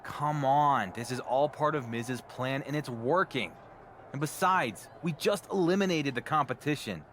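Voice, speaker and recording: An actor playing a confident, cocky young wrestling champion in a video game cutscene. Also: male voice, medium pitch, measured pace, a bit bright, a little hiss or hum, lightly acted. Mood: warm teasing.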